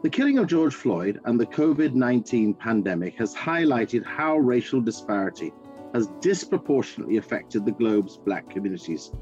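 An older man hosting a podcast, introducing his guest in a formal, measured way. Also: mid-range voice, mellow. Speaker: podcast host. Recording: dull lo-fi sound with faint music.

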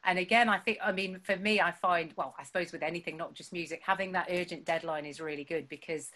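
A woman's voice: on the low side, fast, pushed and bright. A woman, chatting casually about writing her songs, with lots of fillers and self-deprecating humor. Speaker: songwriter guest. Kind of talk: casual chat. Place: home.

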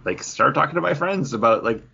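A man co-hosting a podcast in casual chat, halting and full of fillers, often acting out imagined lines as he talks. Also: mid-range voice, expressive, creaky, pushed and bright, dull audio, slight background noise. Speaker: podcast co-host reviewing comic books.

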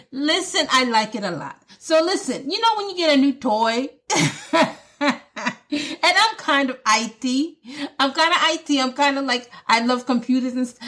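A woman's voice: high voice, bright, forceful tone. A woman hosting her own podcast, chatting casually and playfully, often laughing and saying "listen" and "y'all". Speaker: podcast host. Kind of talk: casual solo podcast chat.

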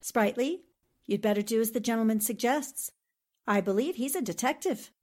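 Woman acting a poised, high-voiced lady sleuth. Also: moderate pace, darkish tone, close to an everyday voice. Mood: coolly tactful. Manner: polished, arch, teasing endearments. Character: poised, shrewd, witty newspaperwoman amateur sleuth. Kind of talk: 1940s radio drama acting.

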